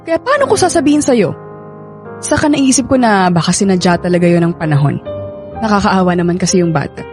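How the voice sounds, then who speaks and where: A woman's voice: medium pitch, lively intonation, a little fast, a little mellow, natural voice. A Filipino woman playing a hurt, defiant young wife in a radio drama, emotional in delivery. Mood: cold, bitter. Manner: emotional, bitter, confrontational. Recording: music behind the voice.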